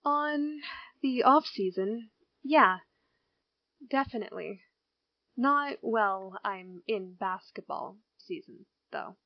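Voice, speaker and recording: A slow, wistful bored teen girl. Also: mid-range voice, expressive, no room sound, dull audio. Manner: slow, inward, murmuring thoughts.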